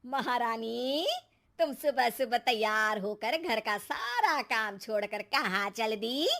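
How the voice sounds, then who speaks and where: A woman's voice: on the high side, animated, takes it slowly, creaky, a bit bright, heavily acted. A woman playing a bossy, sarcastic middle-aged mother-in-law in a cartoon story, nagging and easily angered. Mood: angry, sarcastic.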